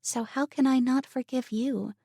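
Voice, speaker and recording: A woman voicing a kind, level-headed young woman who talks in an earnest, gentle way. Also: sits high, fairly even intonation, moderate pace, creaky, breathy edge, no echo, studio quality, voice pushed up, full character voice.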